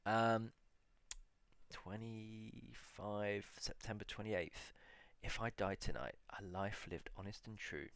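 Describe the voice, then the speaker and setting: A man with a low, gravelly voice reflects aloud in a relaxed solo video. He reads out titles slowly and lingers on each one with hums and pauses. The recording is close and dry, with no room sound. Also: no room sound. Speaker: video blogger.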